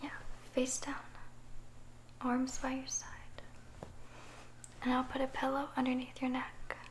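A woman playing an affectionate, gently teasing girlfriend in a soft, soothing ASMR roleplay, speaking close to the microphone. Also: high voice, completely flat delivery, measured pace, slight background noise. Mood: caring, soothing. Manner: soft, soothing, giggly, caring.

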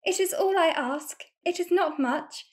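A woman's voice: very high-pitched, measured pace. A woman playing an effusive, lovelorn young lady with florid, formal delivery in a radio-play farce. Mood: pleading.

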